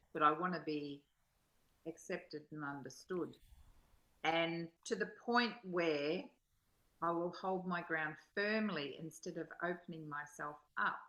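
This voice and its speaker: A woman in a reflective spiritual conversation, putting her thoughts together in halting, measured phrases. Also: sits low, pushed and bright, low-quality recording. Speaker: study partner in a spiritual discussion.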